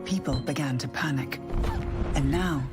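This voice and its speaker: A solemn storyteller acted out for a game trailer tells a bleak fairy tale at a slow, measured pace. Loud music swells under the grave, scripted narration. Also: medium pitch, close to an everyday voice.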